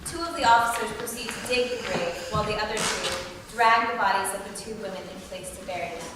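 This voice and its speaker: A woman's voice: mid-range voice, fast. A woman reading stage directions as narrator at a live table read of a dramatic script. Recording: very echoey hall, distant, noisy.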